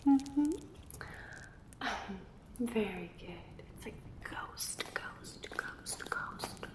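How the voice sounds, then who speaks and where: A woman's voice: fairly high pitch, lively intonation, drawn-out words. A woman playing a playful, mysterious enchanted portrait in an ASMR roleplay, teasing with riddles and repeating words. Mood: pleased, playful. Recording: echoey room sound.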